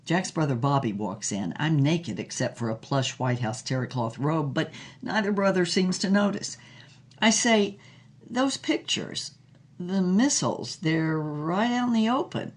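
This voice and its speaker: A woman playing a brash, flirtatious starlet who tells her own torrid tale in an audio drama, theatrical and knowing, with a husky voice. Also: deep voice, very animated, measured pace, exaggerated voice. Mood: wry, animated. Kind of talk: dramatized first-person storytelling with character voices.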